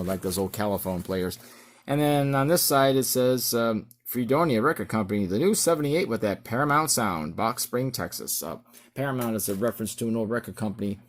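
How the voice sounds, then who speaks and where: A middle-aged man giving a casual show-and-tell as an amateur musician, in a halting, rambling way. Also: on the low side. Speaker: amateur musician presenting his record.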